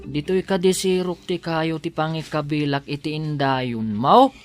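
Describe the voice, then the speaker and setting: A kindly rural farmhand in an Ilocano-accented radio drama. He talks slowly and deferentially, hesitant and eager to help, easily flustered by his lady employer. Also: low voice, over music. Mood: shy, polite.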